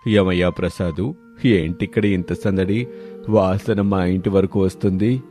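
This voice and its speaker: A man's voice: deep voice, fairly even intonation, a little slow, mellow, faint music behind. A man voicing a hearty, food-loving farmer elder who exclaims and gives orders in a cartoon village tale. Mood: curious. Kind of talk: dramatised moral story dialogue.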